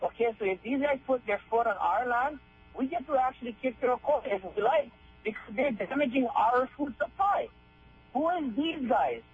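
High-voiced male caller on a tinny phone line. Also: lively intonation, normal speed, a little hiss or hum. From Hawaii. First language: Hawaiian. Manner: emphatic, repetitive, indignant questions.

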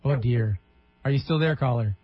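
A man's voice: medium pitch, moderate pace, husky. A man hosting a radio call-in show in a mid-range voice. He slips in wry, joking asides between questions. The sound is slightly dull, as if heard over the air. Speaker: radio talk show host.

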